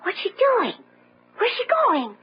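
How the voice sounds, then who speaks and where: A woman's voice: very high voice, huge pitch swings, takes it slowly, full character voice. An actress playing a distressed, pleading woman in a radio drama. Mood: alarmed. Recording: muffled old radio, faint music.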